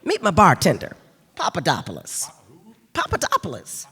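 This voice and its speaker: A woman playing a big, brassy, bossy gin-mill boss with a rough husky voice and slangy mock-sweet talk in a radio crime play. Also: sits low, huge pitch swings, normal speed, a bit bright, heavily acted. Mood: boisterous, bossy. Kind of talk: old-time radio crime play acting on stage. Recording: reverberant hall stage recording.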